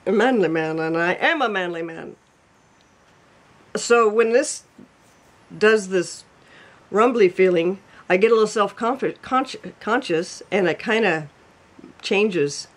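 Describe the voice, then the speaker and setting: A man's voice: high voice, measured pace, pauses often. A man hosting his own home show, chatting casually and self-deprecatingly over a video call, laughing often. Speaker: cooking show host. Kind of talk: casual chat. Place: home room by a fireplace.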